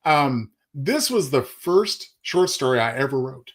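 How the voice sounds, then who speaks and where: A man's voice: mid-range voice, expressive, measured pace, a bit bright. A man co-hosting a live show and talking about his writing in a courteous, slightly formal but chatty way. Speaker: co-host and short story author. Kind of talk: casual live chat.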